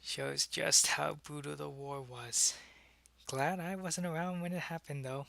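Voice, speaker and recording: A man playing a secretive, soldierly alien changeling in an ASMR roleplay, speaking to the listener in a halting, conversational way. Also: on the high side, fairly even intonation, gravelly, sharp tone, dry recording, exaggerated voice. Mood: matter-of-fact. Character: alien changeling spy posing as human, loyal, secretive, soldierly.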